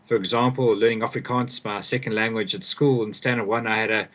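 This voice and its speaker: A man's voice: lowish voice, steady tone, a little fast, a bit bright. A young man from South Africa, a guest author, giving thoughtful conversational answers and often repeating words as he restarts sentences. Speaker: guest author and performance coach.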